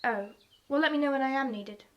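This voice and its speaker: A woman's voice: high-pitched, brisk, a bit bright. An actress playing an eager, apologetic young girl in an audio drama, polite and anxious to be useful. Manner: polite, chattering.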